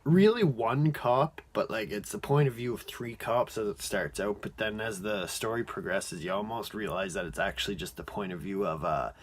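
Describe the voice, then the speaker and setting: A man giving a casual, off-the-cuff review as an amateur reviewer, rambling and halting, often padding with "like" and letting sentences trail off. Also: lowish voice.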